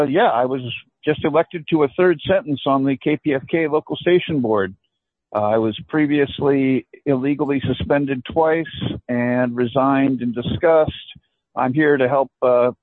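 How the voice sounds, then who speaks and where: A man's voice: medium pitch, a little slow, no echo. A man calling in to a public meeting by phone, aggrieved and insistent. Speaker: caller. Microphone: phone line. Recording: tinny dry phone line.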